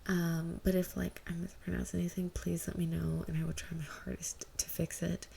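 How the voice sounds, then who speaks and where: A woman's voice: lowish voice, level tone, normal speed, creaky, warm tone, a little hiss or hum. A woman who is an amateur podfic reader, talking informally and narrating fan fiction.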